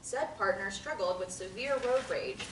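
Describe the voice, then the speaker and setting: A woman's voice: medium pitch, normal speed, close to an everyday voice. A woman reading the part of a bold, nosy therapy patient in a play, casual and sardonic. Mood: nosy, amused. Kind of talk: dramatic table reading of a play. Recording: echoey room, distant mic, noise.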